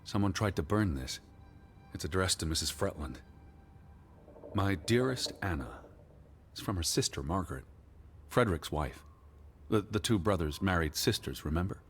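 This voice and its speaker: A man's voice: deep voice, lively intonation, normal speed, pauses often, faint music behind. An actor playing a weary, earnest middle-aged man in a video game, speaking in a hesitant, careful, subdued way. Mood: absorbed, hesitant. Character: weary, earnest man searching for his missing sister. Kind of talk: naturalistic video game voice acting.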